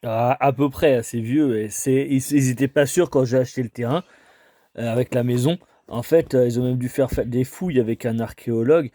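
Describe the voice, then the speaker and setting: A man's voice: medium pitch, normal speed, dark tone, no echo. A man in a casual phone conversation, explaining things in a rambling, hesitant way. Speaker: homeowner taking a cold call.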